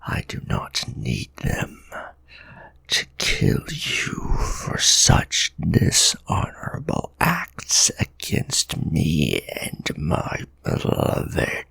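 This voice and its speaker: A man voicing a brooding, protective male vampire lord in an audio roleplay, speaking formally in an intense, breathy whisper into a close microphone. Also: rumbling low, huge pitch swings, very slow, pushed and bright, dry recording, full character voice. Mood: menacing. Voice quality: gravelly, breathy. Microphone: close ASMR microphone.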